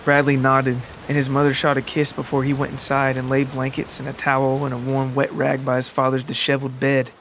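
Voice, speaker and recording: A man's voice: mid-range voice, completely flat delivery, fairly quick, hardly any pauses, a bit bright, a little hiss or hum. A man hosting and narrating his own scripted storytelling podcast. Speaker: podcast writer, narrator and host. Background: guitar music and rain.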